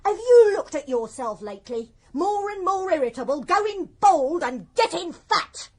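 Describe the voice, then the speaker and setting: An actress playing a nagging, scornful English shopkeeper's wife in a radio drama, carping and reproachful. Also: female voice, extremely high pitch, sing-song, unhurried, darkish tone, exaggerated voice. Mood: bitter, reproachful.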